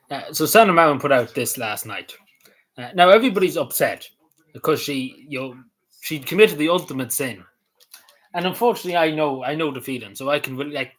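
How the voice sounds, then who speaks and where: A man's voice: medium pitch, a bit bright, rough recording. Rambling man talking casually. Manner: rambling, hesitant, many fillers and restarts, chuckles often. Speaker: vlogger.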